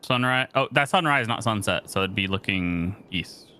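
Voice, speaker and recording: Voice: mid-range voice, expressive, normal speed, sharp tone. A co-streamer chatting casually during gameplay. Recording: music behind the voice.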